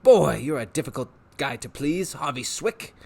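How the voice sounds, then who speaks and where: A man's voice: medium pitch, lively intonation, creaky. A man voicing a pushy, wisecracking male trickster who hollers and talks like a huckster in a storybook reading. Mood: pushy, brash. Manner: loud, fast-talking huckster, hollers.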